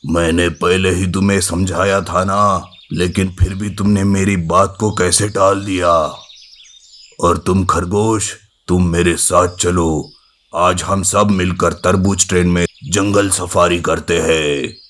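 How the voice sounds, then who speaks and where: A man's voice: deep voice, flat delivery, fairly bright tone. A man playing a stern, commanding lion king who speaks with regal reproach in a children's animal story. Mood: stern, scolding. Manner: regal, reproachful, slow delivery.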